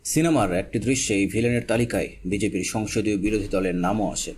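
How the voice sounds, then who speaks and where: A man's voice: fairly low pitch, brisk, darkish tone, slight background noise. A man reading scripted, documentary-style voice-over narration. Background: background music.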